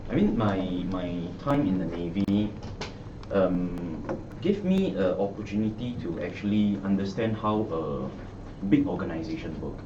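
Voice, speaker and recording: A man's voice: sits low, big pitch swings, unhurried. A middle-aged man with a Singaporean accent, an election candidate answering press questions hesitantly with many restarts. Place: party office media room. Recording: echoey room, far mic, dull noisy sound.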